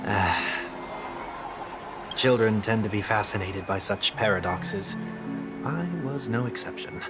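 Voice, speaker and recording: An actor plays a stern, composed young man in a video game, low-voiced and formal. He speaks in measured, deliberate sentences over game music. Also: close to an everyday voice. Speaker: game character. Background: game background music.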